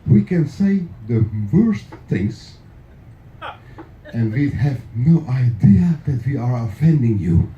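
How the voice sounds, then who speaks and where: A man's voice: mid-range voice, very animated, slow, echoey room, lo-fi sound, a little hiss or hum. A middle-aged man from the Netherlands with a Dutch accent, a clog maker joking and chuckling his way through a live craft demonstration in an indoor workshop. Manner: jokey, chuckling between remarks.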